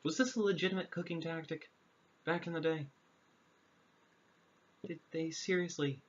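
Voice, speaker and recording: Deadpan man storyteller on lo-fi audio. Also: a little high, normal speed. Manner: dry, sarcastic, deadpan. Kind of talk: fairy tale reading with deadpan comic asides. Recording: dull lo-fi audio, clear background noise.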